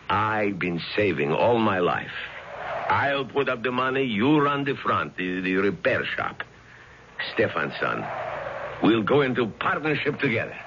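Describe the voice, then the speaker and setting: An actor playing a kindly, supportive older uncle in a 1970s radio drama. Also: male voice, fairly low pitch, sing-song, unhurried. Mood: kindly, encouraging. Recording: dull, noisy 1970s radio recording.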